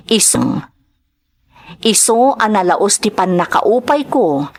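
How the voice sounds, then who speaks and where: A woman with an Ilocano Filipino accent playing a homesick, troubled domestic worker abroad in a radio drama, speaking in an earnest, hesitant, plaintive way. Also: medium pitch.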